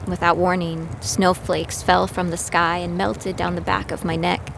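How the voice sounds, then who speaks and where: A woman's voice: lowish voice, flat delivery, a little slow, vocal fry, noisy background. A woman playing a self-doubting young fighter who narrates her own story in an audio drama. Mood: somber narration.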